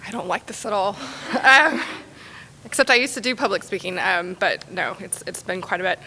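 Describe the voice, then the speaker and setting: Creaky woman doing an unscripted intro talk, keeps correcting herself, echoey hall w faint noise behind. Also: mid-range voice, lively intonation, moderate pace, sharp tone. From grew up in the Middle East, Australia and Houston. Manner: rambling, self-correcting. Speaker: realtor at a speaker training class. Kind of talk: informal unscripted self-introduction speech.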